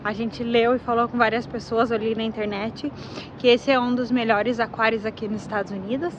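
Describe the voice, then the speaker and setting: A woman travel vlogger chatting casually and enthusiastically, often correcting herself as she talks. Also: on the high side. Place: tourist aquarium and museum. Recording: slightly dull lo-fi audio with faint background noise.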